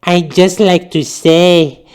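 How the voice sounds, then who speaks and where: Man doing a slow, meek, high-voiced male admirer. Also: steady tone, darkish tone, smooth. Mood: timid, fawning. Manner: timid, formal, halting and fawning. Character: shy, meek male art student, fawning admirer.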